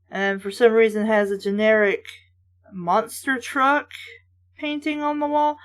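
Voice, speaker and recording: A woman hosting an informal read-aloud of books, with casual, snarky asides along the way. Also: a little high. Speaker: host reading books aloud.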